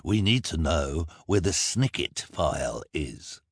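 A man's voice: extremely low pitch, very animated, slow pace, husky, no room sound, clean studio sound, heavily acted. A man voicing a crude hook-handed henchman.

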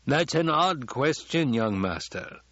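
A man voicing a haughty, easily angered banker with a stiff, raspy delivery in an audiobook. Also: mid-range voice, expressive, slow pace, a bit bright, no echo, highs cut off, voice pushed up. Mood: slow, needling. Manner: stiff, muttering, rasping speech. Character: angry, haughty, cowed male banker at dinner. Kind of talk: audiobook character dialogue.

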